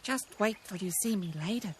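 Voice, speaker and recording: A woman's voice: medium pitch, lively intonation, moderate pace, slight background noise, pitched above the performer's own voice, lightly acted. A woman voicing a vain, fussy female rabbit in a children's story, prissy and dramatic. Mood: breathless, vain.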